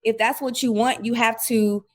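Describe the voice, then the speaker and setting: A woman's voice: fairly high pitch, moderate pace. Chatty woman in her forties, storytelling. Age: 40s. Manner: animated storyteller acting out quoted remarks, many "like" fillers. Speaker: podcast guest. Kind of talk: casual conversational interview.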